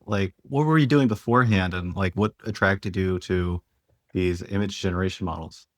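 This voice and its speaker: A man's voice: low-pitched, normal speed, no room sound, studio quality. A man hosting a podcast, asking questions in a friendly, conversational way. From Philadelphia, United States. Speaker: podcast host. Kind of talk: casual interview chat.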